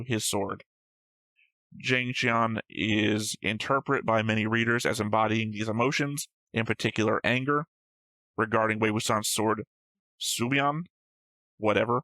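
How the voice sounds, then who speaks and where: A man's voice: lowish voice, pushed and bright, no room sound, low-quality recording. A man hosting a reaction show, reading out notes and discussing them casually in a matter-of-fact, slightly pedantic way.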